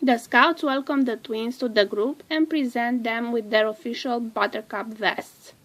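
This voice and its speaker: A woman with a fairly high voice reads a children's storybook aloud. She goes very slowly and deliberately, stretching certain words as she narrates. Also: fairly even intonation, a bit bright.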